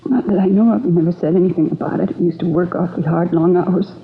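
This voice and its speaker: An American actress playing a shaken, polite, newly widowed housewife in a 1950s radio drama, speaking in a halting, subdued way. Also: female voice, on the low side, creaky. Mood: shaken, grieving. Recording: narrow, tinny old radio recording, faint noise.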